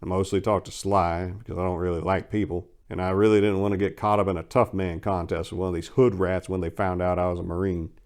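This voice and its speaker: A man's voice: low voice, flat delivery, fast talker, hardly any pauses. Theatrical man reading a story dramatically. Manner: theatrical, swinging from hushed to loud emphasis; profane, folksy storytelling. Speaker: narrator and channel host.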